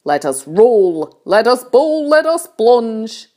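A woman's voice: on the high side, very animated, takes it slowly, warm tone, pitched above the performer's own voice. A woman voicing a boisterous, gleeful male centipede in a storybook, exuberantly declaiming in rhyming verse. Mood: gleeful, theatrical.

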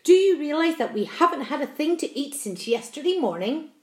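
Woman acting a melodramatic male earthworm, high-pitched, doom-laden, indignant wail, storybook creature, echoey room. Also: big pitch swings, brisk, darkish tone. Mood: gloomy, doom-laden. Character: gloomy, fatalistic, melodramatic blind male earthworm.

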